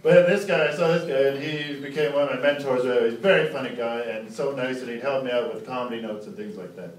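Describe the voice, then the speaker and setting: A man's voice: mid-range voice, fast. A man doing live stand-up storytelling, teasing and sarcastic as he rambles through casual banter. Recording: roomy, distant, very noisy live club recording.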